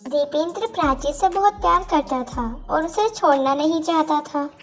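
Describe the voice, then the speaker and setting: A woman's voice: sits high, normal speed, soft background music. A woman narrating a scripted moral story as its storyteller.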